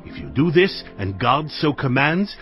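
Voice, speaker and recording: A man voicing Jethro, a wise older priest giving measured counsel. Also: medium pitch, sing-song, highs cut off, faint music behind. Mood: advising.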